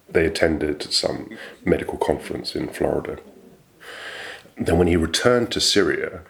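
A man, a barrister, recalls his past work in an interview, measured, reflective and matter-of-fact. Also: extremely low pitch. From England. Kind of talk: interview recollection.

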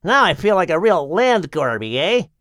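Nervous Canadian beaver for an audiobook, man performing, pitched up, plaintive, bucky-toothed. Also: sing-song, normal speed, a bit bright, dry recording, studio quality. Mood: fretful. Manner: worried, plaintive. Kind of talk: audiobook character voice.

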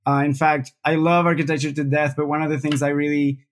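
A man talks as a guest in an interview. His voice sits a little high, and he speaks thoughtfully, often doubling words as he works out a sentence. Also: flat delivery, fast. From Venezuela. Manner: thoughtful, frequent word repeats. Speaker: UX researcher guest. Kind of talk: casual interview talk.

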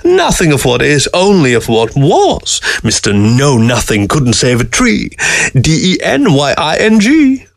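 A man's voice: on the high side, huge pitch swings, unhurried, creaky, no echo, studio quality, voice pushed up, exaggerated voice. A man voicing a scornful female mockingbird in a storybook, with a mocking sing-song delivery. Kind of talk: storybook character voice.

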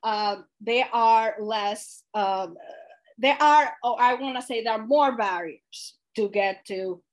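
A woman gives an earnest presentation over a video call. Her voice sits a little high, and her delivery is animated and sincere, with fillers and soft laughs. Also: slow pace. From Dominican Republic. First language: Spanish. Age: late 20s. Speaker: guest speaker.

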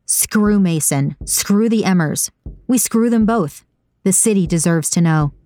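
A woman voicing a cynical, brooding, stubborn man in an audiobook, blunt and insistent in a low-key way. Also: measured pace, warm tone, no echo, natural voice. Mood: resolute. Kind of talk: audiobook character dialogue.

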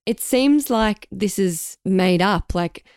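A woman, hoarse and raspy from a sore throat, co-hosting a casual career podcast. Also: mid-range voice, lively intonation, takes it slowly, darkish tone, no echo, studio quality. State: sick, croaky sore throat. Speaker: podcast co-host, radio journalist.

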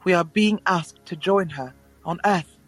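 An actor playing a worried young alien warrior, protective and earnest, with formal diction in an audio drama. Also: male voice, sits high, unhurried, faint music behind. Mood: grave, determined.

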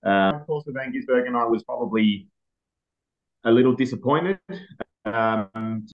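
An Australian man speaking as a motorsport editor, relaxed and hesitant with plenty of pauses. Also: mid-range voice, muffled recording. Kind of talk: casual motorsport chat.

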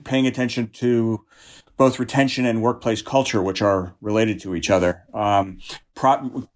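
Earnest man explaining in an interview. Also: on the low side. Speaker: union representative being interviewed. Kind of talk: conversational panel interview.

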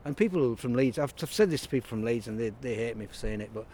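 A man's voice: medium pitch, lively intonation, quick pace, dark tone. A man, talking as an interview guest in a rambling, reflective way with many restarts. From Burnley, Lancashire, England. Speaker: interview guest, writer and songwriter. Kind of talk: casual interview conversation.

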